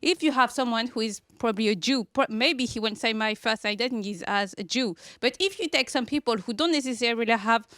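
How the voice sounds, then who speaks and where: A woman from France, an audience member in a studio discussion, explaining her view in careful, measured steps. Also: fairly high pitch, a bit bright, no echo.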